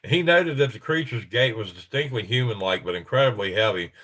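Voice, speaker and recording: A man's voice: lowish voice, lively intonation, a little fast, fairly bright tone. A middle-aged man with a stuffy, congested voice, hosting a casual livestream and reading reports aloud with rambling, chuckling asides. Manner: rambling, chuckling, self-interrupting. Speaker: livestream host.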